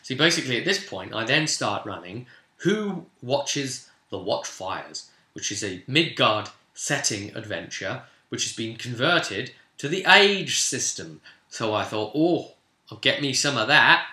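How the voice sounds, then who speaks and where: A British man casually recounting a tabletop game as its game master, in an animated storytelling way. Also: medium pitch, measured pace. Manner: animated, acts out players' remarks in quotes. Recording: roomy, echoing room sound.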